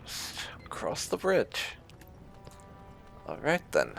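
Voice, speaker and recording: A man's voice: fairly high pitch, huge pitch swings, takes it slowly, vocal fry, a bit bright. A man hosting a casual roleplay playthrough of a game, musing and wry as he comments. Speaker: gaming video host. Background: game music.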